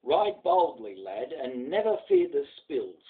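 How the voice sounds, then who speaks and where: A man's voice: medium pitch, big pitch swings, takes it slowly, phone-quality sound. A man with an Australian accent reciting a poem as a stern old bush horseman, commanding and blunt.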